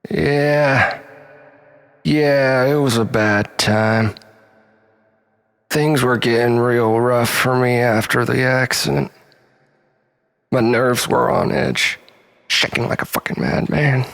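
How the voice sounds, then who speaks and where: A man's voice: mid-range voice, steady tone, slow, gravelly, pauses often, a bit bright, long reverb tail, pitched above the performer's own voice. A man playing a frayed, drunken, self-pitying loner in a comic dramatic monologue, plaintive and overwrought.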